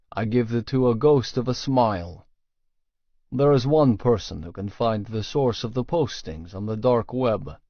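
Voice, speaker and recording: A man's voice: medium pitch, no room sound. A man reading first-person fiction as an audiobook narrator, in a scripted storytelling style. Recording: dry, slightly dull studio sound.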